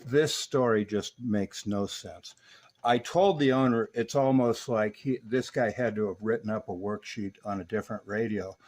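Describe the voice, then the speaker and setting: A man's voice: lowish voice, normal speed, a little mellow. An American man narrating a repair walkthrough as a technician, in a casual, folksy, rambling explanatory way. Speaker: radio repair technician and video host.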